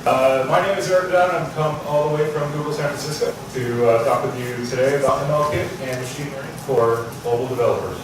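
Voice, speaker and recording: A man giving a technical talk at a conference, loud and asking the audience questions. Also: medium pitch, quick pace, hardly any pauses, background noise. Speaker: conference speaker. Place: large conference hall. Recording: echoey hall, mic from far off.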